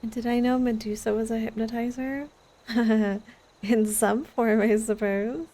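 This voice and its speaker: A woman's voice: on the high side, little pitch movement, a little slow, mellow. A woman livestreaming a video game, giving casual commentary and chatting to her viewers. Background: faint video game sound.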